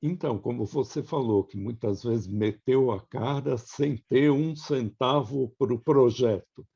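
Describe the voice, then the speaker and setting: Male discussion participant, Brazilian accent. Very slow delivery, husky tone, lo-fi sound. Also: medium pitch, dark tone. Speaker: participant asking questions. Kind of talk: casual group discussion.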